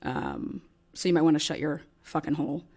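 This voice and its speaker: A woman's voice: deep voice, very animated, fairly quick, a little mellow. A woman hosting a casual livestream rant, speaking in a blunt, combative, profane way. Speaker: livestream host.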